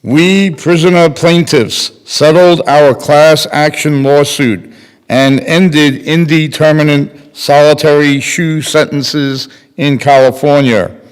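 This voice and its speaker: Slow older man reading in a hall. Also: a little high, fairly even intonation, clean tone. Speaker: readers theater performer. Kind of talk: formal scripted reading of a statement. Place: synagogue sanctuary at a podium. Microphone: podium microphone on a boom. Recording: reverberant hall.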